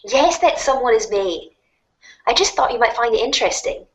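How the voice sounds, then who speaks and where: A woman's voice: a little high, expressive, moderate pace, pitched above the performer's own voice. A woman voicing an eager, awkward, friendly teenage girl in a dramatic reading. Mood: earnest, awkward.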